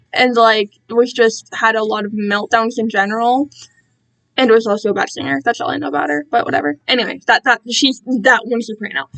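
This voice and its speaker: High-voiced young woman chatting casually. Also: rough recording. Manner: animated, self-interrupting, quotes herself and others dramatically. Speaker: livestream host and amateur fan fiction reader. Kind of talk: casual rambling livestream chat.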